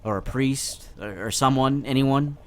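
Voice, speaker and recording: A man playing an awkward, skeptical teenage boy in a comic dub, speaking haltingly with stammers. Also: medium pitch, a bit bright, lightly acted. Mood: hesitant, concerned. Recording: noticeable background noise.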